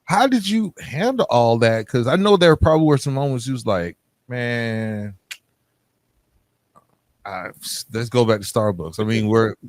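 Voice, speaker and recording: American guy hosting a podcast, casual questions, dry close sound, medium pitch. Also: animated, a little slow, no room sound. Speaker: podcast host, interviewer. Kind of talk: casual conversational interviewing.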